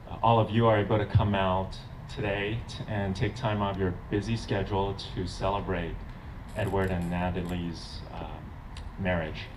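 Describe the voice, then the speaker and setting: Deep-voiced man interpreting, hesitant. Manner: hesitant, searching for words with many "uh"s. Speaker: interpreter for his mother. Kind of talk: consecutive interpreting at a wedding. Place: outdoor garden wedding. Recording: distant mic, noisy outdoors.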